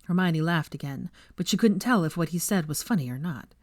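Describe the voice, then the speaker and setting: Low-voiced woman narrating, very animated. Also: fairly quick, no room sound, studio quality. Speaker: fan fiction narrator. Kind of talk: scripted story narration.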